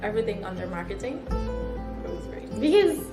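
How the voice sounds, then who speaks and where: Guyanese woman over loud guitar music. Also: medium pitch, very animated, fairly quick. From East Coast Demerara, Guyana. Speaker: sales clerk giving testimonial. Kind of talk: spoken testimonial. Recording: roomy echo under music.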